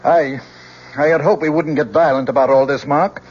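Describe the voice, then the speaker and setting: A man's voice: fairly high pitch, steady tone, moderate pace, close to an everyday voice. Actor as a proper, protective professor father, muffled recording. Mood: guarded, firm. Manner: polite, articulate, restrained. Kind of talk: old-time radio drama acting. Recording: muffled, noisy old radio drama recording.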